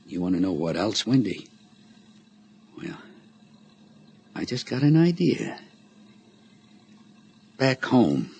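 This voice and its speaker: Radio play villain: middle-aged male blackmailer, low-voiced, folksy and taunting, some background noise. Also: darkish tone. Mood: sly, gloating. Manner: taunting, drawling familiarity with folksy grammar. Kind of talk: radio drama acting.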